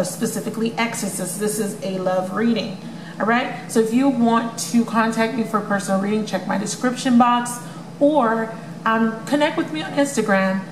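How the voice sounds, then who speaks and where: A woman giving a casual tarot love reading to her viewers, chatty and full of ums, with a voice that may crack from overuse. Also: medium pitch. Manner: chatty, many ums, addresses viewers as you guys. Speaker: tarot reader. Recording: echoey room with noisy background.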